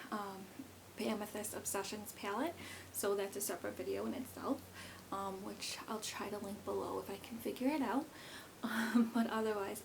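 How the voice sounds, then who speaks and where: A woman's voice: medium pitch, creaky, noisy background. A woman in her thirties, pregnant, talking casually to camera as a beauty vlogger, rambling with lots of fillers. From South Korea. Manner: chatty and rambling, many fillers.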